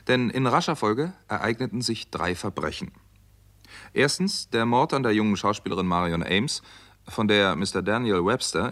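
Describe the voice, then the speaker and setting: An actor playing a sober American doctor who narrates a German radio crime drama in a measured, matter-of-fact way. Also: male voice, low voice, a bit bright.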